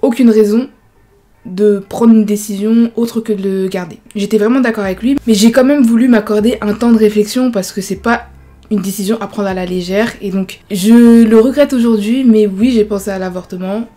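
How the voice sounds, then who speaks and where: Young woman vlogger, twenties, mellow low-brightness tone, casual chatty storytelling. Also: steady tone, normal speed. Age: 20s. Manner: chatty, many fillers like genre and voilà. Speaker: YouTuber.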